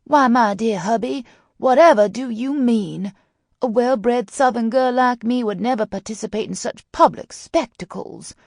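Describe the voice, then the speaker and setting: A mischievous teenage girl with a smart mouth, acted by a woman narrator talks in a low, creaky voice. She piles on teasing, mock-haughty sarcasm in a storybook romance. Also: big pitch swings, a little fast, darkish tone, dry recording, studio quality, full character voice. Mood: coy.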